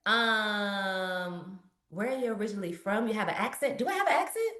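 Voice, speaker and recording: Animated woman coach, echoey room. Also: mid-range voice, normal speed. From Richmond, Virginia. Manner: animated, preachy motivational. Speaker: business coach. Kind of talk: live webinar Q&A.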